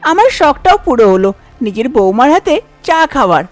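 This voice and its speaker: Woman acting an effusive older mother-in-law, swooping pitch. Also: big pitch swings, brisk, no echo, faint music behind. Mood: pleased, approving. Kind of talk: cartoon story character voice.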